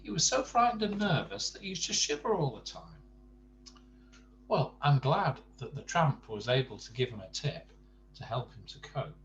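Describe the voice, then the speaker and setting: An older man reading a bedtime story to grandchildren, expressive, with chatty asides and questions to the young listener. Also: mid-range voice, normal speed, highs cut off, slight background noise.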